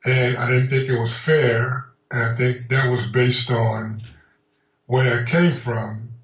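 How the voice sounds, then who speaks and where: A man from Honduras speaking in a documentary interview, measured and reflective, pausing with ums. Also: medium pitch, little pitch movement. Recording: roomy sound from a distant mic.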